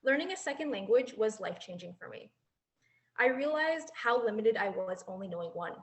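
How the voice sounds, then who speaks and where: A woman's voice: on the high side, expressive, fairly quick. Earnest young woman giving a speech. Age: 20s. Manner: earnest, reflective, laughs lightly. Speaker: former exchange student speaker. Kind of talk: prepared speech. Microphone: handheld mic.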